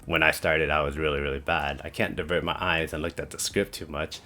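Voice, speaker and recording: A young man talking casually to the camera about starting a channel, with a deep, monotone voice, rambling with lots of "like" and "you know". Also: fairly quick. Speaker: YouTube creator. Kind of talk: casual talking-head vlog.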